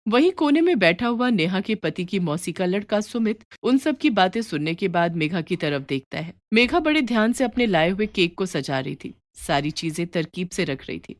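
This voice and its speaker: A woman's voice: on the low side, no room sound, studio quality. A woman reading a scripted story as its narrator.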